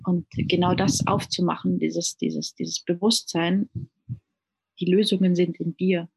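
A woman's voice: on the low side, little pitch movement, a little slow, gravelly, darkish tone, slightly rough, low-quality recording. A woman from Germany, a native speaker of German and Italian, talking earnestly as an interview guest, often repeating words while she searches for the next one. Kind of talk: casual conversational interview.

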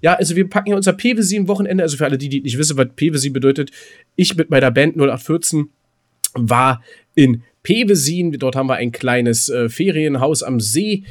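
A man chatting casually as a podcast host, rambling and halting, often repeating his words mid-sentence. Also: fairly high pitch. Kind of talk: casual chat between co-hosts.

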